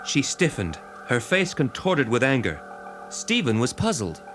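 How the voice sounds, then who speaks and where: A man's voice: medium pitch, wildly swooping pitch, a little slow, a bit bright. Male storybook narrator over music. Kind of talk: scripted dramatic story narration. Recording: music behind the voice.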